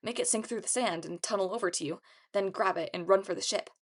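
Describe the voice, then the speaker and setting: A woman's voice: medium pitch, fast talker, lightly acted. A woman voicing a brash, boastful, wisecracking teenage boy hero in an audiobook. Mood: scheming. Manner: boastful, swaggering. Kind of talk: audiobook character acting.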